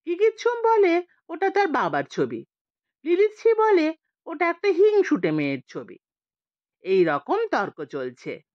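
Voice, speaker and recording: A woman narrating a scripted story as an audiobook reader, in an expressive storytelling style. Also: very high-pitched, measured pace, pauses often, no room sound, lo-fi sound.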